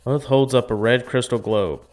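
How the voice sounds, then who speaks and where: A man's voice: mid-range voice, normal speed, a little mellow, soft background music. A man reading a visual novel aloud as a gaming streamer, in a storytelling style with casual asides.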